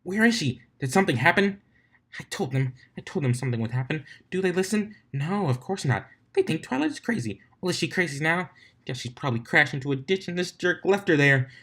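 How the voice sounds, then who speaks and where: A man's voice: a little high, expressive, fairly quick, fairly bright tone, voice pushed up. A man voicing an anxious, irritable, bookish female cartoon unicorn, fretful and given to ranting, in a fan story reading. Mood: frantic worry.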